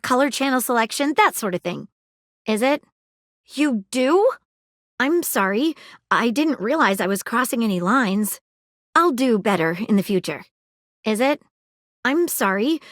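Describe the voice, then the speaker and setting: A woman voicing an eager, polite female magnifying-glass detective in a video game, crisp and precise, often apologetic. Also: on the high side, dry recording, studio quality. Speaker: detective character. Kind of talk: video game character dialogue.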